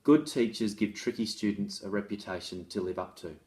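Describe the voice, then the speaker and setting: A man's voice: on the low side, brisk, a little mellow. A man presenting as an education author, reading a case study aloud and explaining it in a conversational, instructive way. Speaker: author and presenter on restorative practices in schools.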